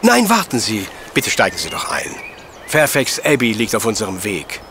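Pedantic professor with lively intonation. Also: male voice, lowish voice, moderate pace, a little hiss or hum, heavily acted. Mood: politely probing. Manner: precise, pedantic, condescending. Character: unflappable, pedantic male professor detective. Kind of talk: audio drama acting.

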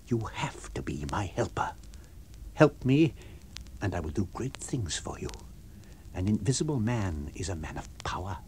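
A man voicing a menacing, imperious villain in an audiobook, commanding and threatening with quiet emphasis. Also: fairly low pitch, very animated, normal speed, slight background noise. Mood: intense urging. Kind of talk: audiobook character voice.

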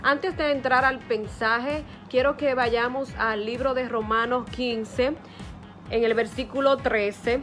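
A woman's voice: on the high side, steady tone, slow pace, a bit bright. A woman in her thirties hosting a church service in Spanish, devoutly welcoming and praying with repeated invocations, recorded on a phone indoors. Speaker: service host introducing the preacher. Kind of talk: welcome, scripture reading and prayer. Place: small room at home, indoors. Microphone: phone at arm's length. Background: music.

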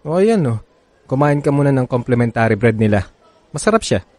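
A man's voice: mid-range voice, very animated, a little fast, darkish tone, no echo, lightly acted. A man playing an evasive married lover in a radio drama, hesitant and placating as he soothes his girlfriend. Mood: casual, easygoing. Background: background music.

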